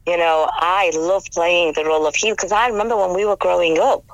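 A middle-aged woman from Britain, slightly hoarse, chatting enthusiastically as a radio studio guest. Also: fairly low pitch, fairly even intonation, fairly quick, dry recording, lo-fi sound. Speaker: radio guest, amateur actress. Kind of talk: casual radio interview chat.

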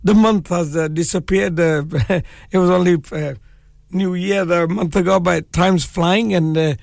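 A man hosting a live community radio show, hoarse with a cold and croaky, chatting in a relaxed way with many fillers. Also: high-pitched, measured pace, soft background music. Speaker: radio presenter. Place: radio studio.

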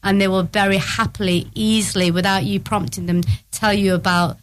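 A middle-aged woman speaks as a guest on a radio interview, at a middle pitch, and talks about her craft with eager warmth. A faint hiss of noise hangs under her voice. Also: fairly even intonation, normal speed, rough recording. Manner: warm, eager explainer, some restarts. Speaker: guest, small soap business owner.

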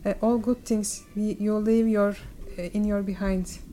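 A woman's voice: mid-range voice, level tone, a little slow, warm tone, slight background noise. A woman with a Turkish accent, working as an interpreter in a radio interview and speaking carefully with frequent restarts. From Turkey.

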